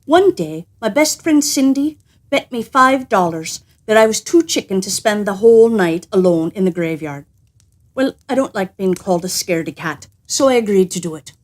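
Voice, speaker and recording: A woman telling stories in a theatrical, suspenseful and deliberate manner, as a host would. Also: medium pitch, lively intonation. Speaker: storyteller and host. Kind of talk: animated scripted storytelling.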